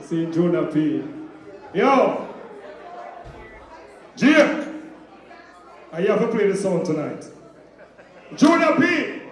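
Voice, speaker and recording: Man, sound system selector, relaxed, repeats himself, very echoey hall. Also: sits high. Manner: relaxed, repetitive, giving respect. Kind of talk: live mic talk with shout-outs.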